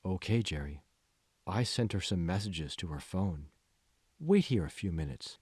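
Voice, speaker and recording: Audiobook AI assistant, played by a man: low, slow, smug, manipulative, condescending, very dry sound. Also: animated, a little mellow, breathy edge, dry recording, exaggerated voice. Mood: coolly controlling. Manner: slow, condescending, coolly controlling. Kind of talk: audiobook character voice.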